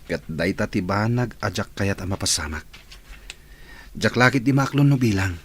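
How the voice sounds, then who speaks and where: A man's voice: fairly low pitch, expressive, moderate pace, slight background noise, lightly acted. A man playing a devoted, easygoing young boyfriend in an Ilocano radio drama. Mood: earnest, loving.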